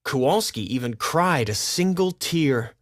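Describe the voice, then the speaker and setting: A man's voice: a little high, animated, unhurried, dry recording, studio quality. A man reading a scripted children's story as its narrator.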